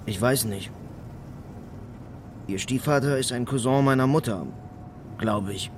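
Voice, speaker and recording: An actor playing a stoic young country man in a radio drama, terse and deadpan. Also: mid-range voice, measured pace, a little hiss or hum, natural voice. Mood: stoic, indifferent. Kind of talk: naturalistic radio drama acting.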